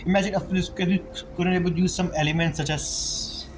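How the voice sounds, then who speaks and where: Higher-voiced man musing over a game. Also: steady tone, fairly quick. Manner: rambling, musing aloud. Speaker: gaming streamer. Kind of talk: casual game playthrough commentary, reading dialogue aloud. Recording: game music behind the voice. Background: game music.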